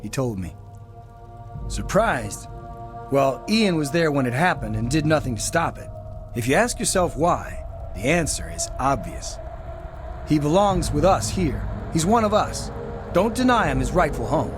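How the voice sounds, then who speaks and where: An actor playing an earnest, guarded cultist in a video game, speaking in solemn, insistent declarations. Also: male voice, on the high side, very animated, measured pace, over music. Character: earnest, guarded male cultist defending his outcast family. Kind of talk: video game dialogue acting.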